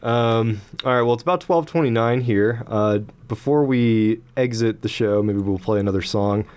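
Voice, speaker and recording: A man's voice: mid-range voice, moderate pace, a little mellow, smooth. A young man hosting a college radio interview, talking in a laid-back, casual way full of fillers. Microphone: studio microphone.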